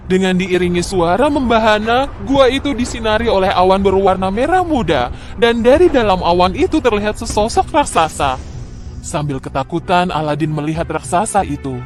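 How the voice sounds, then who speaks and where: A man narrating a scripted children's fairy tale in an expressive, dramatic way. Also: high voice, moderate pace. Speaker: storyteller. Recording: music behind the voice.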